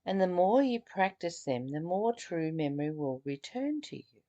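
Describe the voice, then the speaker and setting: A woman's voice: lowish voice, very animated, normal speed, warm tone, muffled recording. A woman reading aloud from a spiritual study text and adding her own earnest, reflective commentary, sometimes stumbling and repeating phrases. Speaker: spiritual teacher leading a book study.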